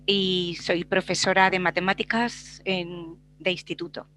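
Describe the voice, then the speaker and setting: A woman's voice: fairly low pitch, little pitch movement, a little slow, fairly bright tone. A sixty-year-old woman with a Castilian Spanish accent, briefly introducing herself on a video call. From Zaragoza, Spain. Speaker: student guest, maths teacher. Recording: faint noise and hum, video call.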